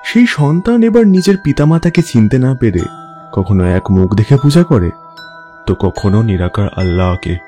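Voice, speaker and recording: Actor as a composed man with lowish voice. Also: big pitch swings, normal speed, dark tone, soft background music. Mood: earnest. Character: principled, calm, protective man defending outcasts. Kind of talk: Bengali audio story acting.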